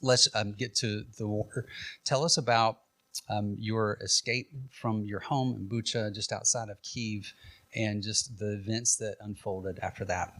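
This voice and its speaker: A man's voice: on the low side, steady tone, measured pace, a bit bright, dry recording. Dry-voiced American man hosting. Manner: friendly, informal, with frequent um and uh. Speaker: event host, interviewer. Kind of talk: announcements and interview questions.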